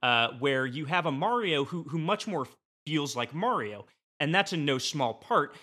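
A man with a bright, clear-edged voice of ordinary pitch talks as a guest in a casual debate. He delivers a rambling comic pitch in a mock-serious way, as if every point matters. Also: animated, moderate pace. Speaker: podcast guest. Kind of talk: animated comedic pitch in a casual panel debate.

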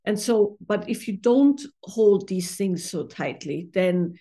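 A middle-aged woman from Austria, a therapist and author, giving thoughtful interview answers with many pauses and fillers. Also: medium pitch, animated, dark tone.

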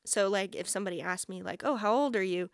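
A woman's voice: mid-range voice, fast talker, smooth, no echo. Chatty young woman, dry close sound. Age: 20s. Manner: chatty, informal, heavy use of "like". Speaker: podcast host. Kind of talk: casual solo podcast chat.

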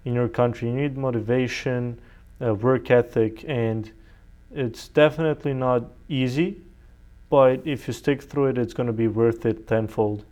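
Clear-voiced young man, native Serbian speaker. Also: mid-range voice, steady tone, moderate pace, a little mellow. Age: young adult. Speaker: interview guest, college student. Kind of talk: conversational interview answers.

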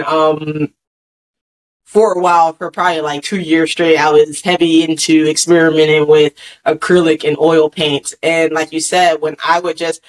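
A man's voice: fairly high pitch, a bit bright, poor audio. A man hosting a podcast in casual chat, easygoing and agreeable, laughing often. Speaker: podcast host.

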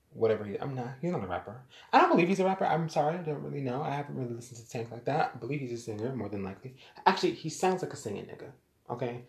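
A man's voice: medium pitch, a little mellow. An American man delivering a blunt, exasperated, lecturing opinion monologue straight to his audience. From United States. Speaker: vlogger giving opinions. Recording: roomy echo.

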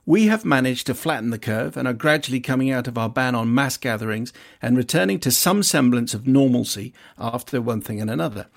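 A man with a noticeably creaky, mid-pitched voice presents a radio show. He reads out correspondence conversationally, slipping in dry asides as he goes. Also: animated, fairly quick, hardly any pauses. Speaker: radio film show host. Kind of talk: chatty presenting, reading listener emails aloud.

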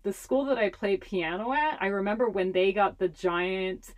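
A woman's voice: mid-range voice, moderate pace. A young adult woman from Hawaii with a Hawaiian accent, whose first language is Hawaiian Pidgin English, chatting casually as an interview guest and laughing often. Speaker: public health communicator, guest.

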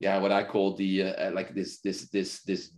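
A middle-aged man from the Netherlands, a podcast guest, telling his story in a relaxed, rambling way full of fillers and restarts. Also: deep voice, normal speed. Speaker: podcast guest, football club founder. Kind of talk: casual conversational storytelling.

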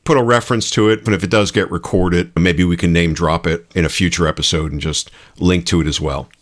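A man with a low-pitched voice talks as a podcast co-host. He explains things informally, in the manner of an everyday conversation. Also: steady tone, brisk.

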